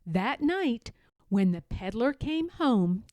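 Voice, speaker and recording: A woman reading a children's story aloud in a dramatic, deliberate way, stressing key words. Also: fairly high pitch, sing-song, a little mellow, no room sound. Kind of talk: children's read-aloud narration.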